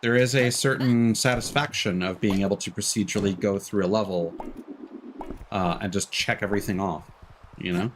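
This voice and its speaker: A man's voice: lowish voice, fairly even intonation, moderate pace, a little hiss or hum. A man doing casual live game commentary, rambling candidly and swearing offhandedly. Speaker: game streamer. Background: game music.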